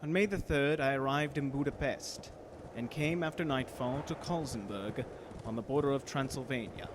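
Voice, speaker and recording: A man's voice: mid-range voice, normal speed, close to an everyday voice. A man acting intense young clerk, noisy backdrop. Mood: grave. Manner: formal, intense, theatrical. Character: earnest young English lawyer's clerk narrating his journal. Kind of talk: dramatic first-person radio narration. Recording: loud storm and carriage effects behind.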